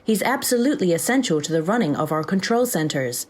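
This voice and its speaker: Brisk, lower-voiced female AI assistant. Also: lively intonation. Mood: brisk, informative. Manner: crisp, dry, businesslike. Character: brisk, dry-witted female AI assistant to soldiers. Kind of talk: comic sci-fi audio drama acting.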